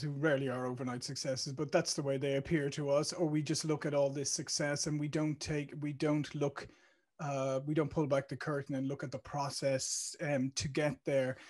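A middle-aged man with an Irish accent hosts a video-call interview, slightly higher in pitch than most men. He talks in a relaxed, chatty way and often pauses on "um" and "uh" before restarting. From Ireland. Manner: chatty, with many fillers and restarts. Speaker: interview host. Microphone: studio mic on a video call.

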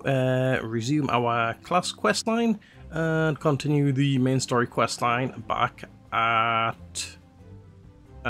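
Man commentating a game, slightly stuffy nose. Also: mid-range voice. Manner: relaxed, rambling, with frequent "uh" fillers. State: slightly congested nose from a cold. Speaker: let's play host. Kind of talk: casual gameplay commentary.

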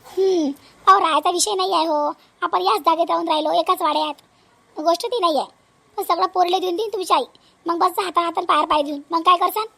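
A woman voicing a shrewd, aggrieved village wife and mother in a family comedy cartoon, brisk and practical, with a rural Vidarbha Marathi accent. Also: very high-pitched, steady tone, creaky, bright, forceful tone, full character voice.

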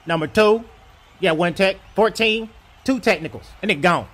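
Man doing a high-pitched, bossy basketball referee. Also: normal speed, a bit bright, reverberant. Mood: bossy, irritated. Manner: brash, profane, slangy. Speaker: comedy voiceover performer. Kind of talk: comic dubbing of basketball footage.

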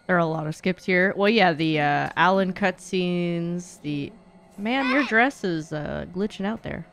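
A woman's voice: on the low side, a little slow, music in the background. A young woman giving casual live gaming commentary in a playful, slangy, chatty way. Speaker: streamer. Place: indoor streaming room. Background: horror game sounds.

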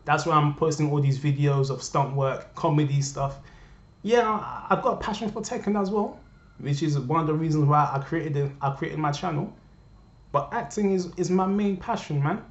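A man's voice: on the high side, normal speed. A young man from Cameroon talking earnestly to his viewers from his living room, repeating himself for emphasis. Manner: impassioned, repetitive, addresses viewers directly. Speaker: vlogger. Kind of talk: earnest personal rant to camera. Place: living room at home, indoors. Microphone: webcam at desk. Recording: roomy, dull lo-fi webcam audio.

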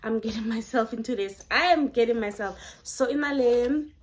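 A woman's voice: fairly high pitch, normal speed. A young South African woman with a Setswana accent, chatting through a shopping haul in her bedroom. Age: young adult. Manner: chatty, enthusiastic, code-switching. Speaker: vlogger. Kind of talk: casual haul vlog, mixing English and Setswana. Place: bedroom at home, indoors.

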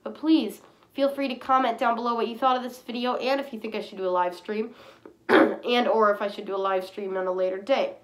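A woman talks casually straight into a webcam in a small indoor room, her voice thick and stuffy from a cold. She rambles along, keeps clearing her throat, and is picked up close by the built-in mic. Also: a little high, steady tone, a little fast, rough recording. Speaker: fursuit vlogger. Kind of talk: casual chat to camera.

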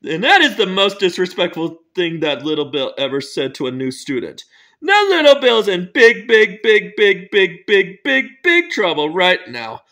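A stern, indignant cartoon principal acted out by a man scolds in emphatic, repetitive phrases. The voice is very high and bright, swinging up and down as it lectures. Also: wildly swooping pitch, normal speed, full character voice. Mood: stern, scolding. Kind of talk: amateur role-played children's cartoon story.

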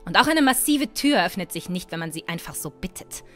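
A woman playing a bold, sharp-tongued, impatient young adventuress in a fan-made fantasy audio drama. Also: on the low side, lively intonation, fairly quick, faint music behind. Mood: dry, stern. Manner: sarcastic, bossy.

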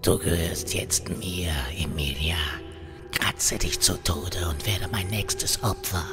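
A man voicing the sinister spirit of a cursed object in a low, menacing whisper. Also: slow pace, over loud music.

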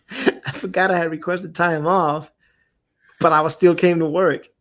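A man's voice: fairly high pitch, fairly bright tone. A man with a Puerto Rican accent hosting a casual chat, easygoing and reading chat comments aloud. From Puerto Rico. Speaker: podcast host.

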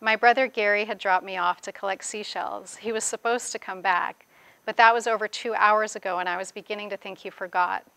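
A woman in her forties, an author from the Bahamas, telling and reading her memoir in a measured, expressive storytelling way. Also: mid-range voice, vocal fry, no echo, rough recording. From grew up in the Bahamas. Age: 40s. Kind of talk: reading aloud from own memoir, with spoken commentary. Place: indoors, seated before a plain wall at a reading event.

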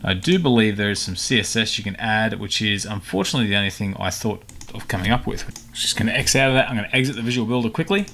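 A man hosting a casual software tutorial, talking informally and a little hesitantly as he thinks aloud. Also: fairly low pitch, fast talker. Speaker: tutorial host. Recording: clicks and typing, faint background noise. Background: keyboard typing and mouse clicks.

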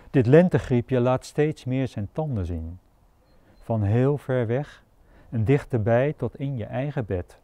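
Male poetry reader, measured and declamatory, unhurried pace, dark timbre. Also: medium pitch, no room sound. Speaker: poet. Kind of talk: poetry recital.

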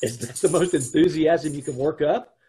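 A man's voice: fairly high pitch, normal speed, dark tone, a little hiss or hum, lightly acted. A man acting an exasperated, sarcastic husband who also narrates in an old-time radio comedy, recorded over a video call. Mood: incredulous. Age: middle-aged. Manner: dry, incredulous, blustering. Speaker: amateur radio troupe actor. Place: home room, on a video call.

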